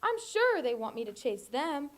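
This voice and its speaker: A young woman voicing a friendly, puzzled, slow-moving male storybook creature musing to himself. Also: sits high, sing-song. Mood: cheerful.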